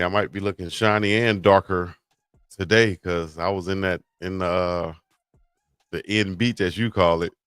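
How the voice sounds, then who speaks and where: A man's voice: low-pitched, a bit bright, smooth, dry recording, clean studio sound. A middle-aged man co-hosting a casual sports chat, telling stories in a laid-back way with plenty of fillers. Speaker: co-host. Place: Hawaii, at home on a live stream.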